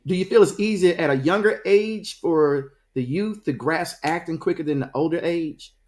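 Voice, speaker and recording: Chatty interview host, mid-pitched voice. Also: moderate pace, darkish tone. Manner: chatty, rambling, loosely worded questions. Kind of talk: casual interview questions.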